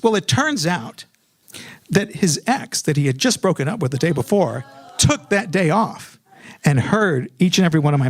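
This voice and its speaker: A man telling a personal comic story in a live storytelling style, animated, with dramatic timing. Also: high-pitched.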